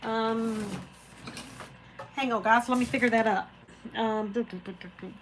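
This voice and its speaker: A woman's voice: fairly high pitch, fairly even intonation, unhurried, fairly bright tone, a little hiss or hum, low-quality recording. A woman, hosting a casual live chat and rambling to viewers by name. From Florida, USA. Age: 40s. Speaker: craft channel host reading live chat.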